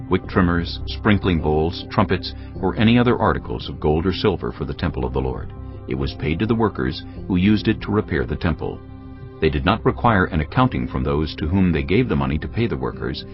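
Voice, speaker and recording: A man with a very deep voice narrates scripture in a solemn, measured way. His pitch moves expressively through the dramatic reading, over background music. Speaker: Bible narrator. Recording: dull, lo-fi audio with music.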